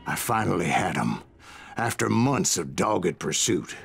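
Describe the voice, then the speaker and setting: Gravelly old gunslinger. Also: male voice, low voice, very animated, measured pace, a bit bright, full character voice. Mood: dramatic recounting. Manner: storytelling, folksy, self-assured. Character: grizzled, stubborn old gunslinger recounting his exploits. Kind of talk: dramatic game narration. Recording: music behind the voice.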